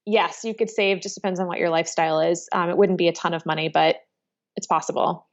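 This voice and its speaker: A woman in her thirties from Chicago, answering interview questions in a relaxed, thoughtful way with many ums. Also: lowish voice, quick pace. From Chicago, United States. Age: 30s. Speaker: interviewee, entrepreneur. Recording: dull lo-fi sound.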